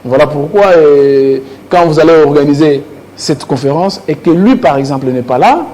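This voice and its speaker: A man's voice: on the high side, moderate pace, warm tone. A man with a Congolese accent giving political commentary as a panelist, repeating words as he searches for phrasing. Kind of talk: political debate commentary in French. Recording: clearly noisy studio recording.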